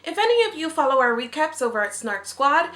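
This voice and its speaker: A woman's voice: sits high, very animated, brisk, a bit bright. A young adult woman chatting casually as a video blogger, playful and self-deprecating with jokey asides. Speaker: video blogger, co-host of a read-along. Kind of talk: casual, scripted-feeling vlog chat to camera. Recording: roomy, echoey indoor sound.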